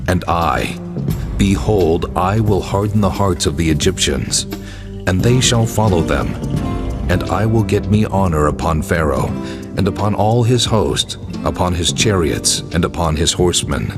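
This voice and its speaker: God, deep voice, commanding, solemn, audio drama, music behind. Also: male voice, expressive, unhurried. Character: God, commanding male divine voice. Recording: voice over music.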